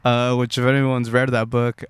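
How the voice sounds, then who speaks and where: A man's voice: mid-range voice, monotone, moderate pace, clear, resonant tone, no echo. A young man co-hosting a podcast, telling rambling stories in a casual, laid-back way with lots of swearing. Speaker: podcast co-host. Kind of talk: casual chat.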